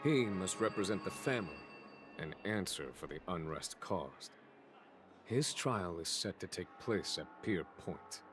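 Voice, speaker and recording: A man voicing a genial, composed general in a video game, speaking in a formal, measured and eloquent way. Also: low-pitched, animated. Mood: grave, measured. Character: genial, composed male general, a diplomatic mediator. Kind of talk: scripted video game voice acting. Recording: game music behind the voice.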